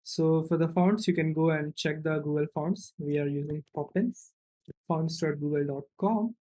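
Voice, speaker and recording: A man's voice: fairly high pitch, fairly even intonation, moderate pace, warm tone. A man giving an unscripted, step-by-step programming tutorial, thinking aloud as he works and often checking in with a short questioning tag. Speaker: coding tutorial instructor.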